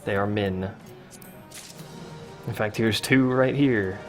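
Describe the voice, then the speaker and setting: A man's voice: lowish voice, slow, faint music behind. A young man casually commenting while playing a game on a livestream, answering chat with wry asides. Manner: chatty, reads chat questions aloud, wry asides. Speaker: let's play streamer.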